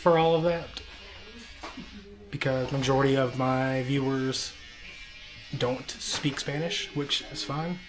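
A man's voice: mid-range voice, fairly even intonation, slow, long pauses, over music. A man in his thirties, a travel vlogger, talking to camera from a barber's chair, a bit out of breath, mixing in broken Spanish. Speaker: travel vlogger getting a haircut. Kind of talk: casual talk to camera.

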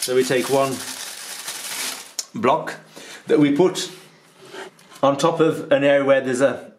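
A man's voice: medium pitch, fairly even intonation, takes it slowly, a little hiss or hum. A man in his fifties, a holiday rental host, patiently explaining a task step by step with frequent ums, recorded on a handheld camera in a stone-walled kitchen. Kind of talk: instructional tutorial.

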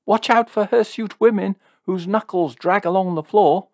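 Man voicing a calm, soft-spoken young woman. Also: on the low side, normal speed, no echo, clean studio sound. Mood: teasing. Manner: soft-spoken, matter-of-fact. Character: calm, gently reproving young woman with teasing wit.

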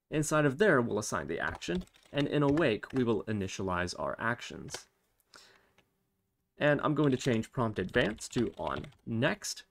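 A man hosting a tutorial, explaining each step as he works through it on screen. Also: mid-range voice. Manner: explanatory, thinking aloud while working. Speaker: tutorial host. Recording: clear background noise, keyboard typing and clicks. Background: computer keyboard typing and mouse clicks.

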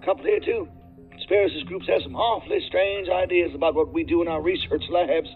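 A man's voice: high-pitched, fairly quick, creaky, faint music behind. A man playing a courteous, talkative corporate research contact, heard through a phone-style filter. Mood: courteous, chatty. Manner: chatty, polite, roundabout. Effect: phone or intercom filter. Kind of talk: naturalistic audio drama acting. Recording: tinny narrow phone-like line.

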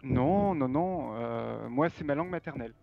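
A man in his forties answering questions over a video call, speaking haltingly with frequent fillers. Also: mid-range voice, lively intonation, gravelly, phone-quality sound, music in the background. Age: 40s. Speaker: guest sign language trainer. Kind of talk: casual interview answers.

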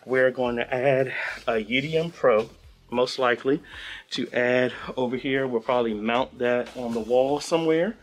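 A man's voice: mid-range voice, normal speed. A man in his thirties talking informally and practically, thinking aloud as he explains an installation in a casual vlog style. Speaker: church audio-video installer and vlogger.

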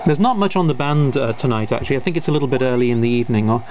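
A man's voice: medium pitch, racing. A man giving a casual, off-the-cuff hobbyist demonstration of a radio. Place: indoors at a radio bench. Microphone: camera microphone. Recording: dull camera audio, loud radio static. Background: shortwave radio noise and signals.

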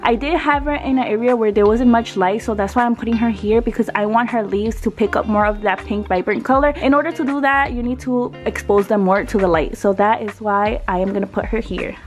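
A woman's voice: fairly high pitch, brisk, few pauses. A woman in her twenties chatting casually as a vlogger, informal and slangy with a self-deprecating streak, recorded on a camera in a bedroom. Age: 20s. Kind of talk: casual chatty vlog narration. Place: small apartment bedroom, indoors. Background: background music.

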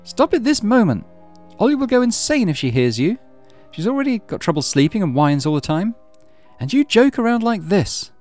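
Man putting on a high-pitched scolding mother. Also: very animated, moderate pace, soft background music. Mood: angry scolding. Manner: sharp, exasperated, accusing. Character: stern, weary, scolding mother.

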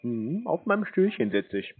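A man with a husky voice in a prank phone conversation, answering in a deadpan, naive manner. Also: a little high, moderate pace. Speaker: prankster answering scam calls. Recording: tinny, dry phone-band sound.

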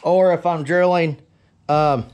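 Male blacksmith demonstrator, fairly high voice, plain-spoken and off-the-cuff, recorded in a noisy workshop with power tools. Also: steady tone, takes it slowly. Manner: folksy, plain-spoken, addressing viewers as guys. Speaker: blacksmith giving a how-to demonstration. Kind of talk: casual unscripted workshop tutorial talk. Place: cluttered forge workshop.

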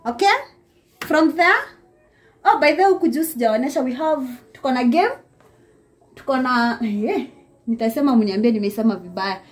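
A young Kenyan woman with a Kenyan accent gives a lively, laughing house tour, filmed on a handheld phone in a small apartment. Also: high voice, normal speed, pauses often. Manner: lively, laughing often, talks straight to viewers. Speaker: vlogger giving a house tour. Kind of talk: casual chatty house tour mixing English and Swahili. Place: small apartment, indoors and on a balcony. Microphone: handheld phone camera.

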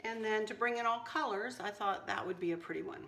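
A slightly hoarse woman hosting a casual craft tutorial, chatting and teasing herself as she works. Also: medium pitch, fairly quick, fairly bright tone, roomy sound, slight background noise. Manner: chatty, self-teasing, talks while working. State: a little hoarse. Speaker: craft channel host. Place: kitchen counter indoors.

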